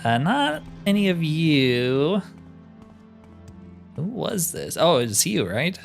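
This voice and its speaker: A man's voice: fairly high pitch, wildly swooping pitch, slow, creaky. Easygoing man thinking aloud over game music. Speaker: gamer narrating own roleplay playthrough. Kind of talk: casual game commentary.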